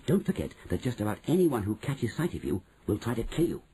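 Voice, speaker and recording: A man voices a level-headed young boy who has become a mouse in a children's storybook. He reasons earnestly and patiently, with lively rises and falls and a breathy, husky tone. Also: medium pitch, fairly quick. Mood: urgent, planning. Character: sensible, matter-of-fact young boy turned mouse.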